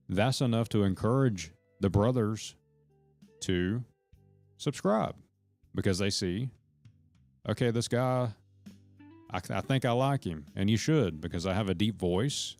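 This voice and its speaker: A deep, low-voiced man talks loosely to his stream chat, hopping between thoughts and cursing as he goes. Faint guitar music plays behind him. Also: a little mellow. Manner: rambling, profane, jumps between points; talks to chat members directly. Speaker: live streamer. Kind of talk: rambling live-stream chat to viewers.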